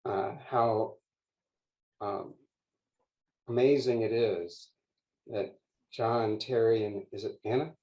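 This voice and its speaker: An older man, a poet guest, speaking in a measured, halting way on a video call from a home study lined with books. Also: fairly low pitch, steady tone, darkish tone, poor audio.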